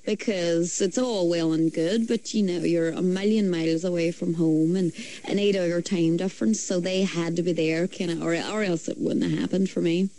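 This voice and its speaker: A woman's voice: on the low side, fairly even intonation, moderate pace, few pauses, warm tone, poor audio. A woman with a Derry accent, chatting as a singer guest in a radio studio, rambling along with lots of fillers and restarts. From Derry, Northern Ireland. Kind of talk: casual radio interview chat.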